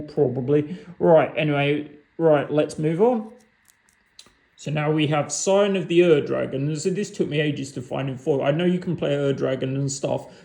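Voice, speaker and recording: A man casually walking through his card game decks on camera, rambling and halting, often restarting words. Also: on the high side, vocal fry, dark tone. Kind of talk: casual unscripted walkthrough. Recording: echoey room.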